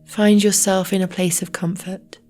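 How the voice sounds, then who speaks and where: A woman guiding a relaxation meditation in a soft, breathy, near-whispered voice, slowly and soothingly with long pauses. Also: mid-range voice, flat delivery. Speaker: yoga nidra meditation guide. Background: meditation music with singing bowls.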